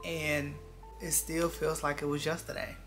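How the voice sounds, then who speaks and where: Vlogger, twenties, gravelly fry with a bright edge, earnest reflective chat to viewers over background music. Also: medium pitch, level tone, a little slow. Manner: earnest, confiding, repeats phrases for emphasis.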